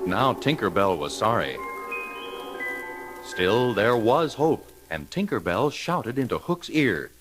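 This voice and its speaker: Lively male storyteller over loud music. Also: fairly low pitch. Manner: dramatic, measured storytelling. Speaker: narrator. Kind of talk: scripted children's storybook narration.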